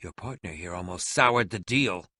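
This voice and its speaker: Casting: pompous video game villain, sneering potion-maker, bright, forceful voice, very dry close sound. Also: male voice, low voice, animated, normal speed, dry recording, full character voice. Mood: impatient, disdainful. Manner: pompous, sneering, melodramatic, over-enunciated. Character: haughty, scheming, theatrical villainous male potion-maker. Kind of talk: video game character acting.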